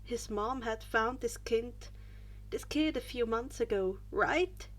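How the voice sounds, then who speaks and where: A woman's voice: on the high side, slow pace. A woman narrating fan fiction as an amateur audiobook reader, reading from a script with the odd stumble.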